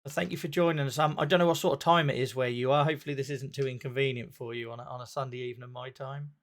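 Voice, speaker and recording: A man's voice: medium pitch, steady tone, rapid-fire. A man hosting a casual online talk show, chatty and friendly, often restarting his sentences. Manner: chatty, friendly, self-interrupting.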